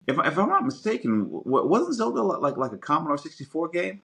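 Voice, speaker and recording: A man's voice: fairly high pitch, huge pitch swings, brisk, gravelly, pushed and bright, husky. A middle-aged man co-hosting a podcast, talking casually and animatedly, often repeating words in bursts as he works out a thought. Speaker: podcast co-host. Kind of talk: casual conversational commentary.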